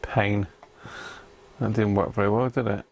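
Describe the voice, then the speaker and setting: British man with a creaky voice, chatting live. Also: on the low side, fairly even intonation, a little slow. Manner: informal, tag questions, thinking aloud. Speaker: livestream host. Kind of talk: casual live chat, talking to viewers.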